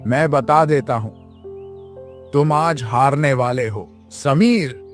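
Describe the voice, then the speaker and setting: A man's voice: mid-range voice, takes it slowly, soft background music, close to an everyday voice. A man voicing a lazy, arrogant, hot-tempered young prince in a children's moral story, with a haughty, bullying manner. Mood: spiteful.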